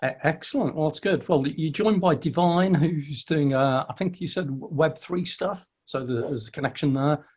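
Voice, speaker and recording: A man's voice: a little high, normal speed, hardly any pauses, slightly rough. Welcoming man hosting a video call. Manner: friendly, stammering restarts, welcoming. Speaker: host of a weekly online meetup. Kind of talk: casual chat on a video call.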